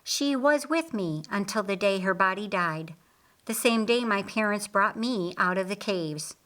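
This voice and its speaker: Woman reading as measured, earnest master of the house. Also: medium pitch, lively intonation, a bit bright. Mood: reflective.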